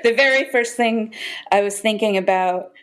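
A woman's voice: mid-range voice, normal speed. A woman speaking as a guest author in casual, reflective interview conversation.

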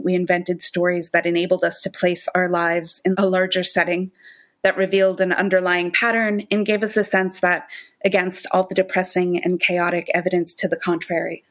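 Reflective woman with a lowish voice. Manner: reflective, articulate, long-winded, laughs lightly as she talks. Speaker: writer and teacher, interview guest. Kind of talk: thoughtful literary interview talk.

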